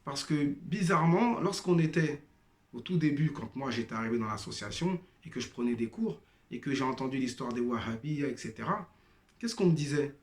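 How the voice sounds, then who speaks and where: Guy telling his own story, lots of euh and restarts, roomy echo, mid-pitched voice. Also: a little fast. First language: not Arabic-speaking. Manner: hesitant, many fillers and restarts; addresses listeners as brothers and sisters. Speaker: former member sharing testimony. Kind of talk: personal testimony monologue.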